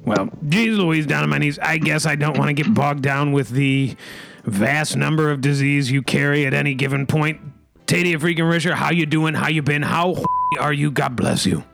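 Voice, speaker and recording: A man with a deeply gravelly, fry-heavy voice pitched a little high, rambling through comic banter with mock-pompous flair. Faint music sits under him. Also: steady tone, normal speed, a bit bright. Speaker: comedy podcast host. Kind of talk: improvised comedy banter.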